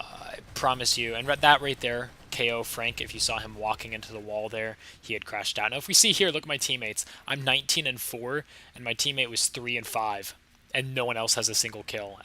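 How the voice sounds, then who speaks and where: A young man with a slightly raspy, sick voice, casually commenting over his own gameplay footage with a chatty, laid-back manner. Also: mid-range voice, sharp tone, slight background noise, low-quality recording. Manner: casual, laid-back, chatty with frequent fillers and short laughs. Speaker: gaming channel host.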